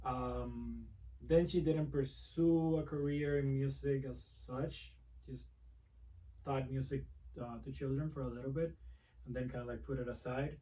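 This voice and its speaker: Mellow-voiced man, off-mic. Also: mid-range voice, steady tone, unhurried, far from the mic, rough recording. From Dominican Republic. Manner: soft-spoken, reflective, frequent restarts. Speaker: guest, music producer. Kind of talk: casual interview talk.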